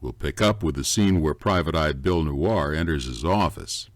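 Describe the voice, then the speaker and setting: An actor playing a dramatic, mock-serious announcer in a campy noir radio play. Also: male voice, extremely low pitch, normal speed, slight background noise. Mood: mock-solemn. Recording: music faintly behind the voice.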